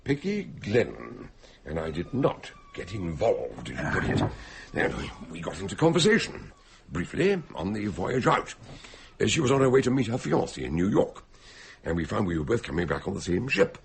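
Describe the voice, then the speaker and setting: Husky old detective doctor, radio play, gravelly n theatrical, swoops all over the place. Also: male voice, on the low side, huge pitch swings, moderate pace, a little hiss or hum, full character voice. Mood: genial, chatty. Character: elderly eccentric detective doctor, genial storyteller. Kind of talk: radio drama acting and narration.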